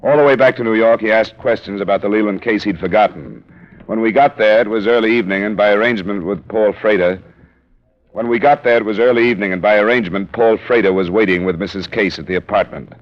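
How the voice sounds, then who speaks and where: A man's voice: on the low side, fast talker, close to an everyday voice. A man playing a hard-boiled male insurance investigator, narrating in a dry, clipped first-person way in a 1950s radio drama. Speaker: narrator and lead character. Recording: dull old radio broadcast.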